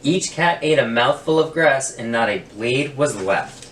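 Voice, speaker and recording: A man reading a children's picture book aloud with deliberate storytelling emphasis, in a roomy, distant recording. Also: medium pitch, lively intonation, a bit bright. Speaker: guest story reader. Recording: roomy, distant mic, faint noise.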